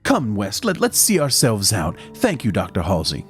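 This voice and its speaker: A man playing a mild young doctor who narrates and speaks in an audio drama, measured and formal. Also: lowish voice, huge pitch swings, faint music behind. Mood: conciliatory. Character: mild, conciliatory young male doctor, loyal colleague and narrator. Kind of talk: literary first-person narration and dialogue in audio drama.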